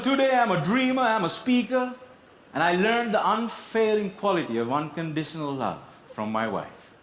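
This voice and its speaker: A man delivering a motivational speech to an audience in a theatrical, emphatic manner with dramatic pauses. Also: sits high. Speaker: contest speaker. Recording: roomy echo, clear background noise.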